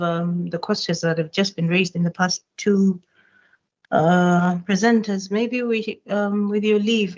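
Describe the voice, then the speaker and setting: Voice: mid-range voice, a little mellow, dull audio. An event coordinator speaking politely and formally, with some hesitations, during an online session.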